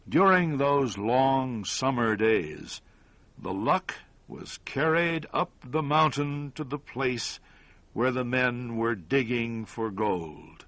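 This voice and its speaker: A man narrating a scripted story in an audiobook style, with measured, deliberate phrasing and short pauses. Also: medium pitch, a bit bright, no room sound.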